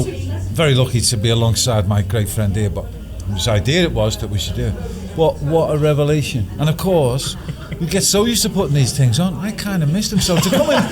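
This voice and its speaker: A man, a pantomime actor, chatting casually and jokily in an interview. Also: on the high side. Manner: jokey, conversational. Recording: busy crowd chatter behind voice.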